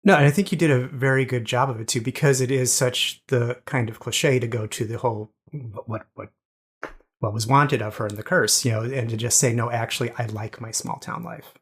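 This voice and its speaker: A man in a casual podcast interview speaks with a slightly husky voice. He talks eagerly and loosely, circling back to repeat his praise. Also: mid-range voice, fairly even intonation, brisk. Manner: enthusiastic, rambling praise.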